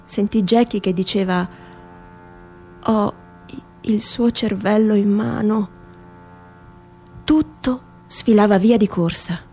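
Woman giving a measured dramatic reading. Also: medium pitch, warm tone, husky, faint music behind. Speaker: stage narrator, reader. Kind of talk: dramatic literary reading for radio theatre.

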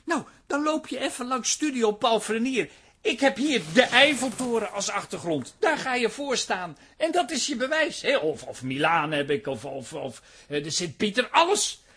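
A man playing a pushy, eager small-time salesman in a radio comedy drama, husky and stammering through his patter. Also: extremely high pitch, animated, moderate pace, sharp tone, full character voice. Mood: eager, pitching. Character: fast-talking, excitable small-time photo studio salesman.

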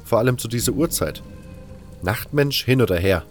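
A man's voice: medium pitch, lively intonation, normal speed, natural voice. A man playing a wary camp member who narrates his own story in a German-language fan audio drama, with music underneath. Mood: guarded, reflective. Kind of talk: first-person audio drama narration with dialogue.

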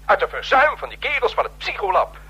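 An actor playing a servile, flustered ambassador in a radio drama, rough-voiced, stammering and defensive. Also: male voice, high voice, wildly swooping pitch, brisk, bright, forceful tone, highs cut off, heavily acted. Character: flustered, servile male ambassador under his leader's rebuke.

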